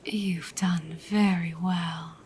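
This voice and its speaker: Woman doing a bossy teasing goddess gf asmr, soft whispery, real slow, noisy background. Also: on the low side, fairly even intonation. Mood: teasing, possessive. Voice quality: soft, breathy, much whispered. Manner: slow, coaxing, commanding. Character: dominant, teasing goddess girlfriend hypnotist, possessive and soothing. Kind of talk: ASMR roleplay.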